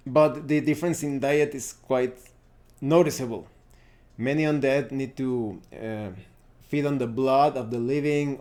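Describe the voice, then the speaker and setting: A man's voice: medium pitch, dark tone. A man giving an unscripted explanation to viewers as a tabletop gaming host, thinking aloud and often restarting his phrases.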